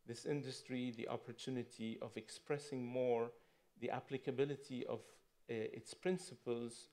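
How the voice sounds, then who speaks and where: Gravelly-voiced man, halting panel talk. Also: medium pitch, steady tone, a little mellow. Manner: halting, many fillers.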